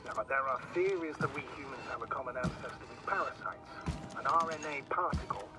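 A man's voice: mid-range voice, lively intonation, brisk, natural voice. An actor playing an earnest, guilt-burdened male mission commander in a dramatic sci-fi video game. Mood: questioning.

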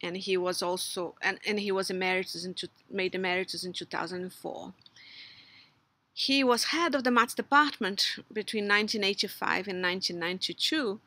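A middle-aged woman with a Brazilian Portuguese accent speaks a memorial tribute, part of it read, full of fillers and restarts. Her voice is bright and clear. She sits outdoors in a garden, close to a webcam, and the sound is dry. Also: mid-range voice, normal speed, no echo. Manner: hesitant, many fillers and restarts. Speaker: logician giving a recorded tribute. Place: outdoors under a patio umbrella in a garden.

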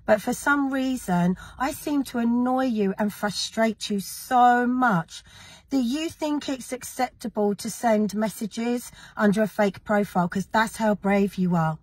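A woman, her voice gravelly after an illness, talking indignantly and emphatically straight to camera in a vlog. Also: on the high side, unhurried, a little mellow, dry recording. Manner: indignant, emphatic, addressing critics directly. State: gravelly, recovering from illness, with a cough. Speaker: activist vlogger.

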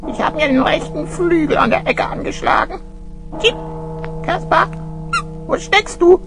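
Eine Frau spielt in einem Kinderhörspiel einen kleinen, aufgeregt piepsenden Vogel, der eifrig Wache hält. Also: female voice, very high-pitched, a little slow, over music. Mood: excited, alert. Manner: excited, peppers speech with chirps. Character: small eager cartoon bird, a chirping lookout. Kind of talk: children's audio play acting.

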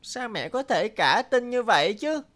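A woman's voice: fairly high pitch, sing-song, unhurried, background noise. A woman voicing a small, stubborn and fearful baby bird in a cartoon, childlike and whiny. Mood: pouty, distrustful. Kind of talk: cartoon dubbing. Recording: music behind the voice.

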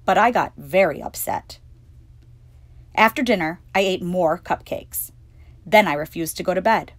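A woman hosting storytime, reading a children's picture book aloud with expressive, clearly phrased delivery. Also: lowish voice, unhurried, dry recording. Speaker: storytime reader and host.

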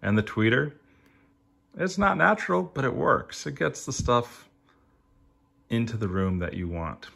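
A middle-aged man giving a reflective personal review, in short emphatic phrases with rhetorical questions and halting pauses. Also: medium pitch, animated, creaky, fairly bright tone, slightly rough. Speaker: audio hobbyist reviewer.

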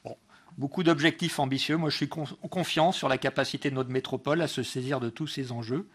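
A man giving a political speech as a local councillor, halting often and correcting himself as he goes. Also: medium pitch, fairly even intonation, a bit bright, slightly rough. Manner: hesitant delivery, frequent pauses and self-corrections. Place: council meeting room.

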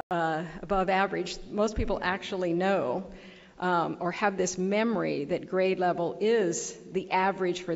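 An older woman on a conference panel, answering thoughtfully with many fillers and restarts, speaking into a table microphone. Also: fairly low pitch. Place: large conference hall. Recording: dull audio in a large reverberant hall.

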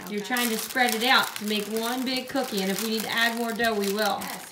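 A woman in her thirties, a mother casually guiding young children through steps with encouraging check-in questions. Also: a little high. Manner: encouraging, step-by-step directing with frequent check-in questions. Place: home kitchen or dining room, indoors. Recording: echoey room with clear background noise.

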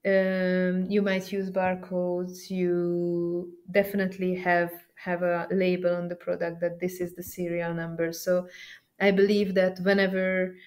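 Low-pitched woman giving a software walkthrough. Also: completely flat delivery, unhurried. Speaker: software trainer presenting a demo. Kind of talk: explanatory software walkthrough, conversational.

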